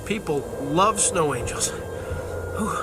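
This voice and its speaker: High, animated, breezy angel man. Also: slow pace, heavy background noise. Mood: breezy, playful. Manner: chuckling, breezy, hesitant. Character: breezy, cheerful man claiming to be a fallen snow angel. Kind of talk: radio drama acting. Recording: music behind the voice.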